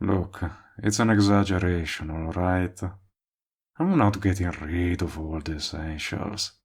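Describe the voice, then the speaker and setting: A man playing a smug, possessive, lovestruck villain in a romantic comedy audio roleplay, talking to the listener in a sardonic, persuasive way. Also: low-pitched. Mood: earnest, wheedling. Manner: smooth-talking, sardonic, drawn-out emphatic phrasing. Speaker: voice actor.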